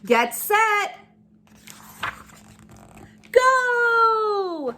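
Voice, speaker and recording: A woman's voice: very high voice, big pitch swings, extremely slow, sharp tone, a little hiss or hum, voice pushed up, full character voice. A woman reading a storybook and voicing an encouraging swim coach who calls out firm, urging words. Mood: urgent, calling.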